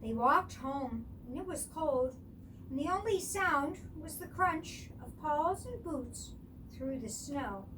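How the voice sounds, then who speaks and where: A woman reading a children's story aloud as storyteller, expressive and explaining things for young listeners. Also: sits high, slow, distant mic, background noise.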